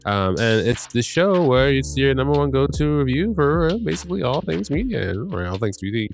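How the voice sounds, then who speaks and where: A man's voice: medium pitch, big pitch swings, moderate pace, gravelly, a little hiss or hum. Stuffy-nosed man rambling through a recap. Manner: rambling, self-correcting, swears casually. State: stuffed-up nose. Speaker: podcast host. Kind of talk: casual recap chat.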